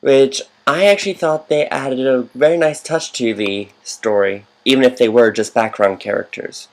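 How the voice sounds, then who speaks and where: A man's voice: medium pitch, normal speed. A man giving casual, rambling commentary over a game, wandering into fannish asides.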